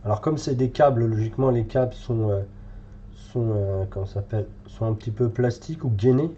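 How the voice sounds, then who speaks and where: A man's voice: medium pitch, brisk, mellow, dull audio. Stuffy french guy w a cold painting minis live, lots of umms, faint hiss behind. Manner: hesitant and chatty, many fillers, thinking aloud while painting. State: has a cold, congested. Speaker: miniature painting tutor.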